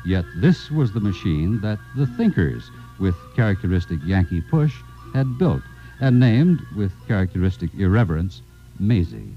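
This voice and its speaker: An actor as the wry, theatrical narrator of a 1950s science fiction radio drama. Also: male voice, deep voice, very animated, moderate pace. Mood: dramatic, measured. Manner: emphatic, theatrical storytelling. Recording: dull vintage radio broadcast recording. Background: music.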